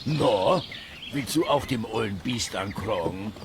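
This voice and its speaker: An actor playing a fat, jovial, know-it-all angler in a children's radio play, talking bluntly and colloquially. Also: male voice, lowish voice, lively intonation, a little slow, creaky, background noise.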